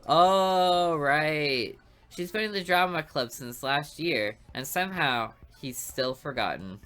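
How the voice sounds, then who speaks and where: A woman's voice: deep voice, slow, fairly bright tone, soft background music. A woman reading a visual novel aloud for a let's play, with playful asides between the lines.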